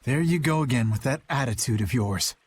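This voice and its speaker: A man's voice: medium pitch, lively intonation, brisk, lightly acted. A man voicing a dutiful, serious young knight in a video game, formal and earnest. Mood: protesting.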